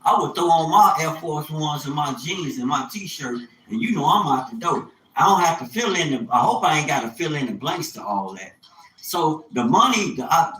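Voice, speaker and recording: A man's voice: a little high, a bit bright. A man in his fifties talking casually on a livestream, colloquial and rambling, often repeating phrases for emphasis. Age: 50s. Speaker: livestreamer, small business owner. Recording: echoey room with faint background noise.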